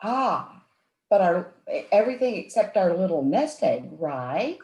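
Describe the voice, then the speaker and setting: A woman's voice: lowish voice, very animated, measured pace, a little mellow. A woman playing a sugary, two-faced femme fatale in a noir radio play, cooing and melodramatic. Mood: coy, scheming. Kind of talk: 1940s noir radio acting, live community theatre. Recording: roomy, echoing live stage recording.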